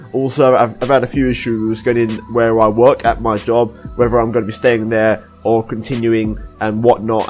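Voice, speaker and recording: A young man, hoarse and deep-voiced from a cold, giving a casual, rambling update to his viewers as a gaming YouTuber. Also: a little mellow. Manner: rambling, apologetic, with many restarts.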